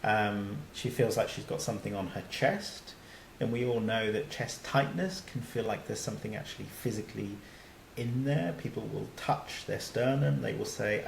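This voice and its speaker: A man's voice: on the low side, normal speed, vocal fry, roomy sound, a little hiss or hum, poor audio. A man, a doctor, giving a recorded slide lecture in a conversational way, with many fillers and restarts.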